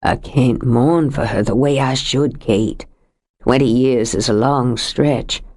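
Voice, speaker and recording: Woman reading as a stubborn widower full of grief, lowered voice, slow heavy delivery. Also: a little mellow, full character voice. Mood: grieving. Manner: low, heavy and bitter. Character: grieving stubborn middle-aged widower, rough-edged. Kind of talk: audiobook character reading.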